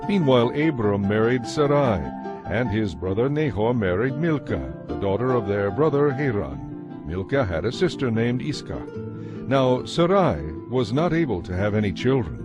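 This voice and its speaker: A man giving a measured, solemn scripted narration of a dramatized Bible. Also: medium pitch, sing-song, dull audio. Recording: narration over a music bed.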